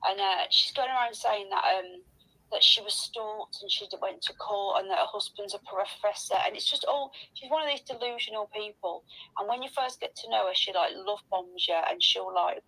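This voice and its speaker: Bright, gravelly, blunt sweary woman. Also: mid-range voice, moderate pace, low-quality recording. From Northern England. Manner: blunt, aggressive, heavy swearing. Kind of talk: sweary, ranting voice-message gossip.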